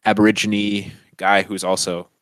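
Young male podcast co-host, low voice, dry close sound, relaxed and chatty. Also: moderate pace, no echo. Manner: relaxed, laughing often, filler-heavy. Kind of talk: casual chat.

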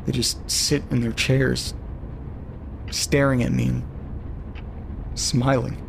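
Lonely man, uneasy, audio drama narrator, eerie letter reading, mid-range pitch, light background noise. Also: flat delivery, slow pace, vocal fry. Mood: bewildered, troubled.

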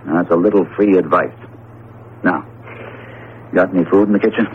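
An actor plays a genial, skeptical American professor in a radio drama, a man with a fairly low voice. He explains things in a breezy, wry way. The broadcast is narrow and muffled, with faint noise. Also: normal speed, lightly acted. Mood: wry, advising. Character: genial, skeptical male psychology professor, hearty and hungry. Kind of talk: old-style radio drama acting.

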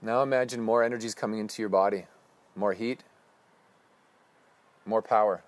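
Calm low-voiced man guiding meditation. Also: no echo. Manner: slow, calm, instructive cues. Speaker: meditation guide. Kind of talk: guided breathing meditation.

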